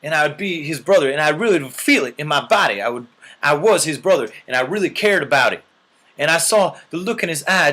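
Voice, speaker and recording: A man's voice: a little high, bright, forceful tone. A man telling a long mock-serious story in an earnest, rambling way, often checking in with "right?".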